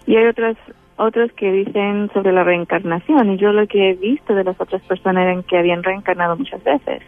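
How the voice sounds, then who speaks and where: A woman's voice: medium pitch, steady tone, a little fast, dry recording, soft background music. Hesitant Chilean woman on a phone line. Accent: Chilean Spanish. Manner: hesitant, many fillers and restarts. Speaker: interview guest. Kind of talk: spiritual interview. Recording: tinny phone-line sound.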